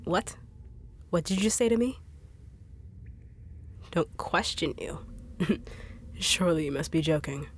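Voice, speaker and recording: A woman's voice: on the low side, lively intonation, husky, exaggerated voice. A woman voicing a blunt, sarcastic female bodyguard on a treasure hunt, in an audio roleplay drama. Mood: skeptical. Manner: fast-talking, no-nonsense, dry and teasing.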